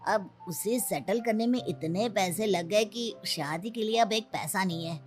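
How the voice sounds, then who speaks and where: A woman's voice: medium pitch, unhurried, faint music behind, natural voice. A woman voicing a calculating, haughty middle-aged in-law in a story, evasive and self-righteous.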